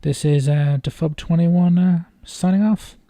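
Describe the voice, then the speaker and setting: A man giving casual, off-the-cuff video commentary in a hesitant, rambling way with halting phrasing. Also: fairly high pitch, steady tone, warm tone, no room sound. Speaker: video host reacting to game trailers.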